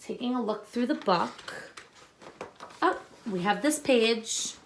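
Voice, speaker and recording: A woman teacher reading a picture book aloud to children, expressive and instructive, pausing to explain and ask questions. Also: a little high, vocal fry, a little hiss or hum. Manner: deliberate, instructive, addressing young listeners with questions.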